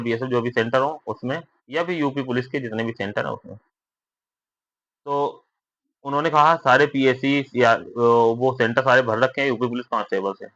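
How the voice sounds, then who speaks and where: A man's voice: mid-range voice, bright, forceful tone, no room sound. A young man giving viewers an informal spoken update, conversational and often checking for agreement with tag questions. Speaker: vlogger giving recruitment updates. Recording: dull lo-fi recording.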